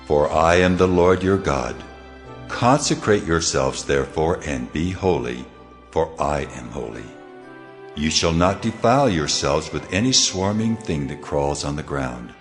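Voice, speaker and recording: God, booming and solemn. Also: male voice, lively intonation, unhurried, faint music behind. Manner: solemn, commanding. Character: the Lord, divine male voice of God. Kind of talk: dramatized scripture reading.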